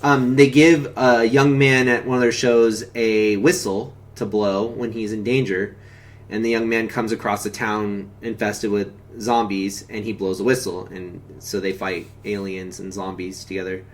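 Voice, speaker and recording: A man's voice: on the low side, little pitch movement, a little slow, slight background noise. A man hosting a stream, chatting casually about movies in a rambling, casually profane way. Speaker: stream host. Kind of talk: casual film commentary chat.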